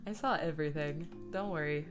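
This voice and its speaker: A woman's voice: sits low, a little fast. A woman chatting casually on a livestream as she works, playful and silly, with self-mocking asides and bits of muttering to herself. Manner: mutters and hums to herself while working. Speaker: streamer and host. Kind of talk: casual livestream chat while editing. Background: background guitar music.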